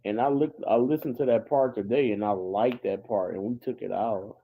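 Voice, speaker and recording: A hoarse man talking casually to the camera about a church song, repeating himself with enthusiasm. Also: lowish voice, moderate pace, narrow, tinny audio.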